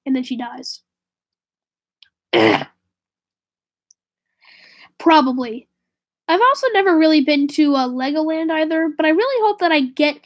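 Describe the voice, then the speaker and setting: A woman live streaming and chatting casually with viewers, slightly raspy with a stuffy nose from a cold, rambling and correcting herself. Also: sits high. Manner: rambling, self-correcting, drifts to a whisper.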